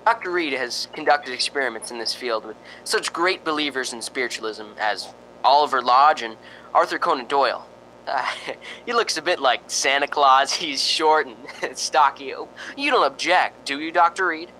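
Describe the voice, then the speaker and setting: An actor playing a chatty, slightly nervous ghost-hunting radio host in a radio drama, hesitant and conversational. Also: male voice, medium pitch, moderate pace, bright, forceful tone, a little hiss or hum, natural voice, low-quality recording. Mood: genial, informal. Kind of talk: radio drama acting, on-air commentary.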